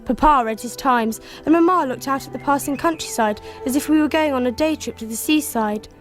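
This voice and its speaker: A woman playing a spirited, anxious young Victorian girl in a radio drama, well-spoken and expressive with an English accent. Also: high-pitched, fairly quick, soft background music, lightly acted. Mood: narrating. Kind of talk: 1990s radio drama acting and narration.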